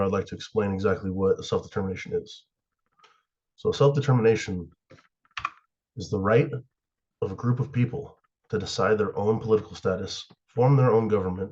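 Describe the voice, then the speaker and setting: A sick young man from the USA, slightly hoarse and raspy, giving a class presentation with many fillers and pauses. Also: lowish voice, a little mellow, muffled recording. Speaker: student presenter.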